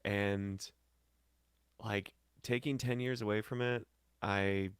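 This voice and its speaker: An American man from St. Louis telling casual stories as an interview guest, in slangy, animated talk. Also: lowish voice, slow, clear, resonant tone, no room sound, studio quality. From St. Louis, Missouri, United States. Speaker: podcast guest, singer and musician. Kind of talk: casual conversational storytelling.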